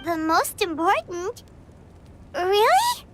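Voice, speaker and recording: A woman voicing a tiny, excitable, childlike fairy companion in a video game, squeaky and eager. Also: takes it slowly, faint music behind, exaggerated voice. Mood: earnest, eager. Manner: squeaky, eager, speaks in third person. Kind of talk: video game story voice acting.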